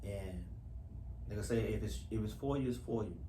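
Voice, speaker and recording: A man's voice: lowish voice, a little fast, warm tone. A man speaking casually and earnestly in an interview, repeating phrases for emphasis. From Houston, US. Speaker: actor being interviewed. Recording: echoey room with faint background noise.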